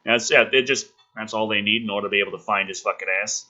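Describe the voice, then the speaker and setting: A man giving exasperated, sweary commentary on a script he is reading aloud, with theatrical outbursts and yelling. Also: lowish voice, fairly even intonation, fast talker, dull audio. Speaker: host reading a film script draft aloud with angry commentary. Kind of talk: ranting commentary mixed with dramatic script reading.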